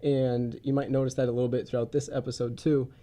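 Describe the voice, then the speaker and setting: Congested young man with a mellow voice. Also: mid-range voice, flat delivery, fast. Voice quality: congested, stuffy nose. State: getting over a head cold. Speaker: podcast host. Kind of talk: casual podcast introduction and interview. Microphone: podcast studio mic, wearing face mask or shield.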